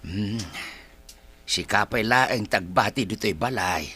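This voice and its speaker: A man's voice: fairly low pitch, takes it slowly, bright, forceful tone, breathy edge, exaggerated voice. An actor playing an ailing, grumpy old Ilocano grandfather who grunts and complains in a radio drama. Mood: weary, muttering. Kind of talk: Philippine radio drama acting.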